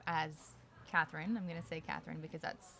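A woman's voice: sits low, steady tone, fast, a bit bright. A woman whose first language is Polish, chatting casually as a podcast guest in a hotel bar, with lots of fillers and easy laughter. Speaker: podcast guest, arena host. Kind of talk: casual interview chat.

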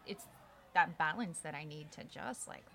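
A woman whose first language is Polish talks easily as a guest in a hotel bar, full of fillers and quick laughs. Her voice sits slightly low but rings bright and forceful. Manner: chatty, laughs often, many fillers. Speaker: podcast guest, arena host. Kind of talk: casual interview chat.

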